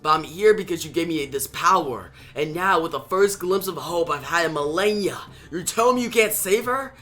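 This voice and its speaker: A man's voice: a little high, expressive, normal speed, pushed and bright, pitched above the performer's own voice. A man voicing a fierce, defiant ancient antihero from a comic book, with dramatic declarations. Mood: desperate, defiant. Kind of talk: comic book story reenactment.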